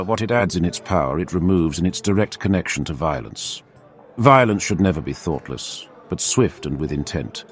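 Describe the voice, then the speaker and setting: A man voicing a proud, calculating superhuman warrior with a measured, formal delivery in a fantasy audiobook. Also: on the low side, fairly bright tone.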